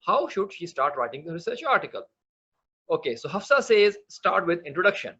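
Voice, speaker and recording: A man's voice: sits high, sing-song, brisk, pushed and bright, breathy edge, lo-fi sound. Man from Pakistan giving an online lecture. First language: not English. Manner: hesitant, many fillers, earnest and explanatory. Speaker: medical writing instructor.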